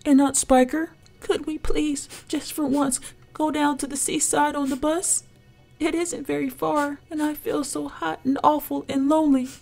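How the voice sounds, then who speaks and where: A woman's voice: high voice, flat delivery, moderate pace, gravelly, darkish tone, faint music behind, voice pushed up. A woman voicing a small, miserable boy from a storybook, plaintive and timid. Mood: pleading, miserable. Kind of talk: storybook character voice.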